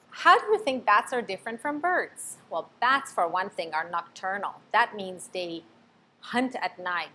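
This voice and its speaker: A woman reading a storybook aloud to children in an expressive, teacherly way, pausing to ask them questions. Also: medium pitch, a little hiss or hum. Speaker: storybook reader.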